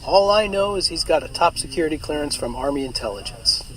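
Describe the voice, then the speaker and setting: Easygoing engineer in a radio drama, lighter voice. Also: male voice, on the high side, lively intonation, fast, fairly bright tone, low-quality recording. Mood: casual. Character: male engineer on an air base.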